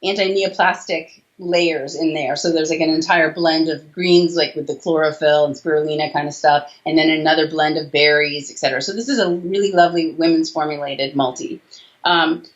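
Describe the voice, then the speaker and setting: A woman's voice: low voice, fairly even intonation, normal speed. A woman in her forties speaking as a doctor in a conversational interview, talkative and emphatic, listing details over a video call. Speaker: doctor and author, interview guest. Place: small room indoors, video call. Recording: slightly dull video-call audio.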